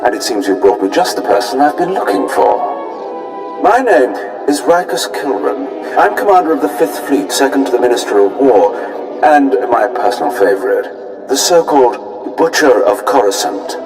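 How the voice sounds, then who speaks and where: An actor playing a smug, menacing imperial fleet commander in a video game, pompous and self-satisfied. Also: male voice, medium pitch, sing-song, moderate pace, music in the background, low-quality recording. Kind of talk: video game character acting.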